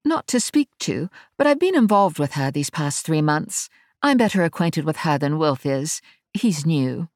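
A woman voicing a brisk, matter-of-fact tech specialist with an English accent, in an audiobook story. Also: deep voice, big pitch swings, no room sound, studio quality.

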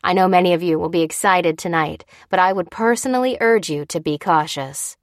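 A woman voicing a measured, cautioning radio news anchor in an audiobook, with a formal broadcast delivery. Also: fairly low pitch, dry recording, studio quality, natural voice.

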